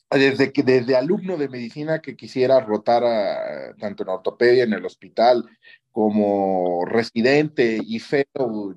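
A man's voice: mid-range voice, a little mellow. A man with a Mexican Spanish accent tells a personal story conversationally as an interview guest, often checking in with questioning tags at the ends of phrases. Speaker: interview guest, orthopedic surgeon. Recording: dull lo-fi audio.

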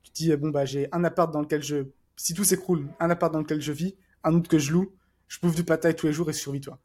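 A man talks quickly on a casual podcast, his voice a little husky and fairly high. He rambles, restarts often and fills gaps with fillers. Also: steady tone, a little mellow. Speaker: podcast co-host. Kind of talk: casual chat.

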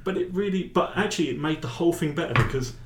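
A man's voice: a little high, expressive, quick pace, roomy sound, background noise. An English man who performs spoken word, talking in a rambling, hesitant way with many restarts, in a casual interview. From England.

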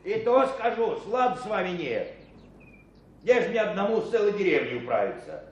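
A man's voice: high voice, very animated, normal speed. An actor playing a surly, resigned village lake watchman in a radio drama. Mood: grudging. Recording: echoing, distant, dull radio play scene.

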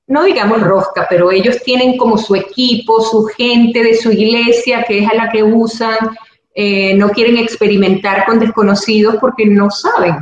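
A woman's voice: mid-range voice, muffled recording. A woman from Venezuela with a Venezuelan Spanish accent, an actress and producer giving thoughtful, earnest interview answers. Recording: very echoey room, faint background noise.

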